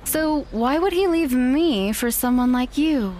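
A woman's voice: sits high, animated, unhurried, darkish tone, slight background noise. A young woman playing a possessive, manipulative fiancée in an audio drama, speaking in a haughty, dismissive way. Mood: possessive, smug. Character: possessive, condescending con-artist fiancée, smug and manipulative. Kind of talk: naturalistic audio drama acting.

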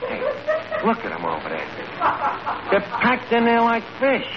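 An actor playing a brash, scheming tavern manager in a 1940s radio comedy, with a New York accent and a bluffing, word-mangling way of talking. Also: male voice, very high voice, huge pitch swings, moderate pace, exaggerated voice. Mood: scheming, indignant. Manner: bluffing, mangles grammar and fancy words. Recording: noisy muffled old radio broadcast, audience behind.

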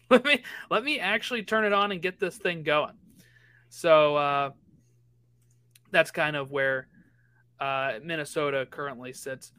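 A man co-hosting a casual sports podcast, rattling quickly through a list of match results. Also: on the high side, sharp tone, smooth, dry recording. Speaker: podcast co-host.

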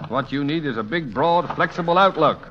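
Actor as playfully teasing bachelor, widely swinging voice. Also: male voice, on the high side, animated, fairly quick, a little hiss or hum, close to an everyday voice. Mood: teasing persuasion. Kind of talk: old-time radio comedy acting. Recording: dull vintage radio broadcast recording.

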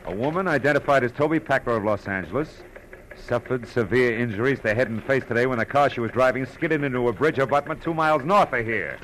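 An actor playing a wry, dogged private detective in a 1940s radio drama, with clipped, world-weary noir delivery. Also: male voice, mid-range voice, fast, slight background noise, natural voice, low-quality recording. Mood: urgent, intent. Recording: vintage radio recording with loud music.

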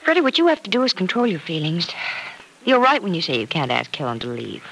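A woman's voice: on the low side, huge pitch swings, fast talker, a bit bright, exaggerated voice, low-quality recording. An actress in an old-time radio drama playing a sensible, sympathetic woman friend who probes and reasons earnestly as she gives advice. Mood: earnest, advising. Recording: vintage radio recording, faint noise.

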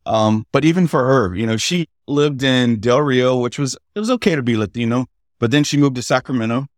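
A middle-aged man, a podcast guest, telling family stories in a halting, conversational way without a regional accent. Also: mid-range voice, expressive, dry recording, clean studio sound. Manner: halting, with many fillers and restarts. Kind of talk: casual interview storytelling.

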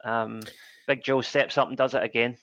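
A man co-hosting a casual football podcast, talking in a measured way with many ums. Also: mid-range voice, level tone, a bit bright, dry recording, muffled recording. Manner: measured, filler-heavy with frequent ums. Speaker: podcast co-host.

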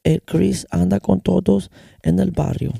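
A man's voice: high voice, steady tone, a little slow, gravelly, dark tone, breathy edge, no echo, studio quality. A young Mexican man with a Mexican Spanish accent and some American English, hosting his own podcast and talking loosely in slang, switching between Spanish and English. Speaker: podcast host. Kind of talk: casual solo podcast monologue. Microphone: close podcast microphone.

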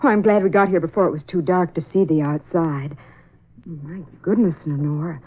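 A chatty, anxious young woman in a 1940s radio drama. Her voice is husky at medium pitch, through a thin, tinny recording. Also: moderate pace. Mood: impressed.

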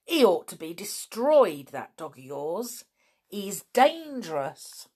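A woman voicing a bad-tempered rough man from a circus. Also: medium pitch, sing-song, slow, a little mellow, voice pushed up, heavily acted. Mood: surly.

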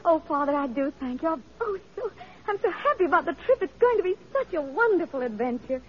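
A woman's voice: extremely high pitch, very animated, a little fast. An actress playing an earnest, idealistic, well-bred young woman in an old-time radio drama, speaking politely and carefully. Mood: delighted. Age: young adult. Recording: muffled old radio recording.

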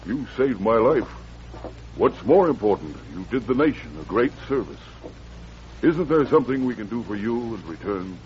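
A man's voice: medium pitch, big pitch swings, moderate pace, a little mellow, natural voice. An oratorical, earnest congressman of the Old West, acted by a man with very varied intonation. He speaks formally and a little pompously. The vintage radio recording is dull, with faint noise. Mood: grateful, stirred. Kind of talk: old-time radio western acting.

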